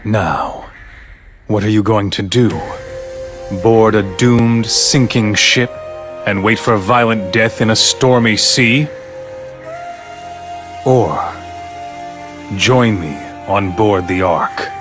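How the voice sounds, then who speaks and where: Male game villain-leader: low, very slow, courteous, eloquent, persuasive. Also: music in the background. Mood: grave, persuasive. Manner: formal, measured, eloquent, grandiose. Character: calm, persuasive male leader behind a grand scheme. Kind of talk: dubbed video game cutscene acting.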